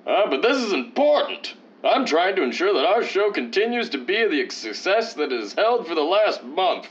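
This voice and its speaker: A man's voice: sits high, very animated, moderate pace. An actor playing a vain, condescending leading man of old-time radio, pompous and theatrical. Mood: self-important. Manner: pompous, theatrical, swooping emphasis. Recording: dull lo-fi sound, faint noise.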